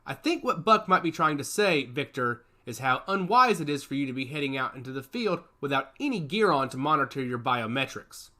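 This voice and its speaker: A man voicing a calm, firm squad leader who speaks in a measured, authoritative way. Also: medium pitch.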